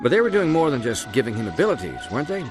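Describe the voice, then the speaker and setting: An actor playing a polite but pointed, sceptical interviewer in a video game cutscene. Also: male voice, medium pitch, very animated, rapid-fire, over music, natural voice. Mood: probing.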